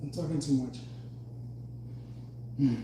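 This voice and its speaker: A man with a slightly hoarse voice, chatting loosely between sets of a home workout. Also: mid-range voice, steady tone, slow, warm tone. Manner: loose and rambling, talking between exercise sets. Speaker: fitness vlogger. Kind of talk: casual workout chat. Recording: very echoey room, mic far off, faint hum.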